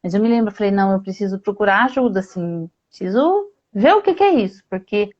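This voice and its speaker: A woman from Brazil hosting a live-streamed conversation over a video call, telling a personal story in a lively way. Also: mid-range voice, fast talker, lo-fi sound. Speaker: host.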